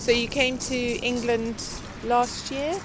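Street interviewer woman, loud traffic. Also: on the high side, level tone, takes it slowly. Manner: warm teasing, laughs often, effusive compliments. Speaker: podcast host, street interviewer. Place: seafront street outdoors. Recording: loud outdoor street noise.

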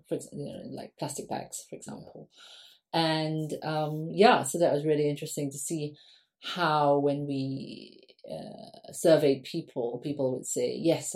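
A woman's voice: low voice, gravelly, a little mellow. A woman from Singapore, an academic guest on a podcast, answering questions conversationally with frequent ums.

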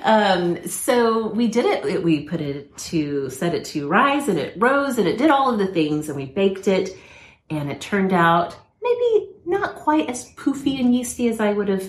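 A woman's voice: mid-range voice, sing-song, measured pace. A woman co-hosting a podcast, telling home stories in a casual, self-deprecating way and laughing easily. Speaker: podcast co-host. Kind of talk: casual chat. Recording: echoey room.

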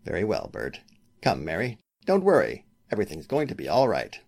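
An actor playing a grave, reassuring lawyer and loyal friend in a 1940s-style radio drama. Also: male voice, sits low, wildly swooping pitch, a little fast, creaky, darkish tone, breathy edge, heavily acted.

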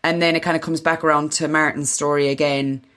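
A woman's voice: deep voice, little pitch movement, a little fast, a bit bright. A woman of about forty with an Irish accent, chatting casually and informally as a podcast co-host, with the odd swear word. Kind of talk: casual chat.

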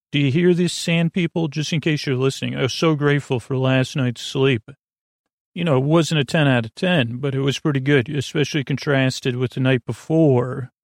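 A man with a creaky voice hosting a sleep podcast, meandering haltingly and goofily through a bedtime monologue and often interrupting himself. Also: medium pitch, no echo, clean studio sound. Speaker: podcast host. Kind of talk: rambling bedtime monologue to help listeners sleep.

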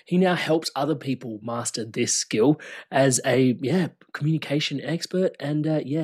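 A man's voice: medium pitch, breathy edge. Effusive male podcast host. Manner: warm, effusive, rambling. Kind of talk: podcast interview.

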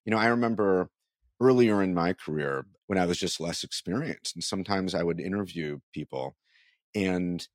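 Low-voiced man in thoughtful conversation. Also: moderate pace, no echo, clean studio sound. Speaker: interviewer and podcast host. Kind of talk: conversational interview about writing and marketing.

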